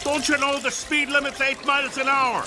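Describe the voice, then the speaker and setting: High, blustering old-time cop. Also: male voice, flat delivery, normal speed, music in the background. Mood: taunting. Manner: scolding, threatening, pompous. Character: blustering, bossy old-time police officer. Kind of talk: period radio drama acting.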